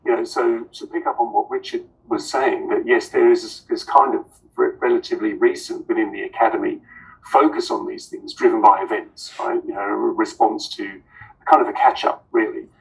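Gravelly, hesitant man on a panel. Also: fairly low pitch, fairly even intonation, normal speed, distant mic, poor audio. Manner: hesitant, many ums and restarts. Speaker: author and panelist. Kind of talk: panel discussion answer.

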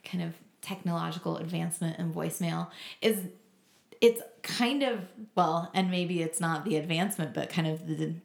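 A woman's voice: lowish voice, moderate pace, creaky. A woman co-hosting a podcast in casual conversation, recorded with a roomy echo. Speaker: podcast co-host. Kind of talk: casual chat. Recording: roomy, echoing sound.

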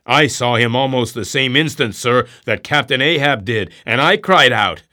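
A man's voice: mid-range voice, moderate pace, bright, forceful tone, lightly acted. A man doing an eager harpooner calling out. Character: eager male harpooner on lookout.

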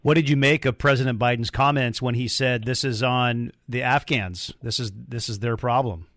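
A man's voice: mid-range voice, fairly even intonation, normal speed, a bit bright, dry recording. A man hosting an interview podcast, putting questions and introducing guests. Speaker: podcast host.

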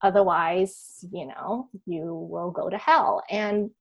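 A woman's voice: on the low side, slow, lo-fi sound. A woman from Taiwan, an author and guest on a podcast, speaking thoughtfully with frequent ums. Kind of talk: podcast interview.

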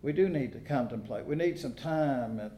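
An American man preaching a sermon in a folksy, conversational way, pausing deliberately between phrases. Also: mid-range voice, animated, warm tone, slight background noise. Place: church sanctuary during a service. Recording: echoey room sound.

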